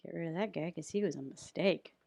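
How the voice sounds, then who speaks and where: A woman with a fairly low voice talks through an editing tutorial in a casual, off-the-cuff way. She rambles and pokes fun at herself, and the close microphone sounds very dry. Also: fast talker, creaky, a little mellow, no echo. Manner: chatty, rambling, self-deprecating humour. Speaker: voice actor giving an audio editing tutorial. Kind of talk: casual unscripted screen-recording tutorial.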